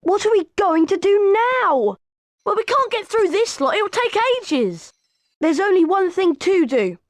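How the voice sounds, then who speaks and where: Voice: very high-pitched, very animated, a little slow, no room sound, full character voice. A performer voicing an earnest, plucky schoolboy wizard in a video game, with a British accent. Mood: worried, determined.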